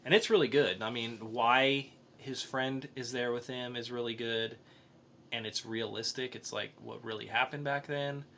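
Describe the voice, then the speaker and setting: A man hosts a casual review podcast. His voice is bright and medium in pitch, in an easy conversational style. Faint background noise sits behind him. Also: fairly even intonation, normal speed. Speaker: podcast host. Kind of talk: casual comic book review chat.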